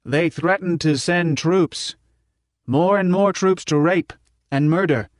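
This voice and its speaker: Fiery elderly revolutionary professor, played by a male narrator, declamatory, raised pitch, weighty pauses, audiobook. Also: no room sound, studio quality. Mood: defiant, rousing. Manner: declamatory, deliberate pauses for emphasis. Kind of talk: audiobook character speech.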